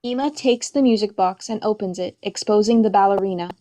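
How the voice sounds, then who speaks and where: A woman's voice: medium pitch, brisk, dark tone, low-quality recording. A woman reading stage directions as scripted narration for a play reading.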